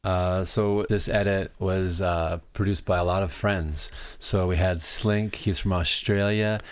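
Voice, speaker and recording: A man from Canada, a DJ guest in a podcast interview, speaking in a monotone, rambling way. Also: sits low, measured pace, clear, resonant tone. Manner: rambling, with many fillers and restarts. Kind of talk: casual interview chat.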